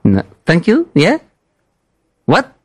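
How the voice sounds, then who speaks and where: A man's voice: fairly high pitch, huge pitch swings, very slow, slightly rough, dry recording, full character voice. A man voicing a bumbling French male tourist who stammers through broken English on a prank phone call. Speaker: prank caller.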